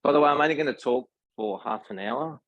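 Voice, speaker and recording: A man's voice: mid-range voice, fast, no echo, muffled recording. A man with an Australian accent giving an informal technical talk at a meetup, speaking conversationally and checking in with his listeners. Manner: conversational, addresses the audience with questions and tag "right?". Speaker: tech company presenter.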